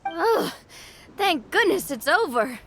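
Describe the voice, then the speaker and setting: A woman's voice: very high-pitched, very animated, slow pace, a bit bright, exaggerated voice. A woman voicing an earnest, considerate young female adventurer in a video game, explaining things thoughtfully. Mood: relieved, thoughtful.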